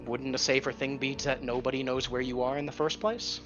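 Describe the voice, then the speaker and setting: A man's voice: mid-range voice, level tone, brisk, dull audio, over music. A man talking at length as a tabletop game player, casually arguing out strategy with some mild swearing. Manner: talkative, argues points at length, mild swearing.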